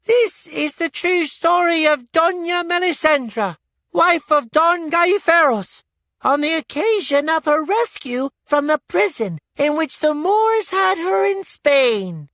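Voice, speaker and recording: A woman voicing an excitable young boy who narrates a puppet show with dramatic flair in a storybook reading. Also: very high voice, slow, no echo, clean studio sound, voice pushed up, full character voice. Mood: proud announcing. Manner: breathless, dramatic showman's delivery. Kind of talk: theatrical children's storybook read-aloud.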